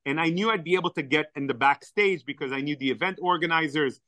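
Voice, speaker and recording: A man's voice: fairly high pitch, fast talker, no room sound. A man whose first language is Hebrew, telling enthusiastic stories as a podcast guest. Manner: animated, enthusiastic storyteller. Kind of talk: storytelling in conversation.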